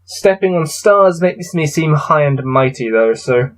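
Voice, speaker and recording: Man, slightly high-pitched voice, casual gamebook host, reading aloud, wry and self-deprecating. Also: animated, measured pace. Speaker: host playing through a gamebook.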